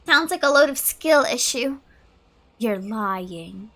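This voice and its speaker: A woman's voice: a little high, expressive, slow pace, sharp tone. A woman gaming streamer giving casual, playful and mock-dramatic commentary over gameplay.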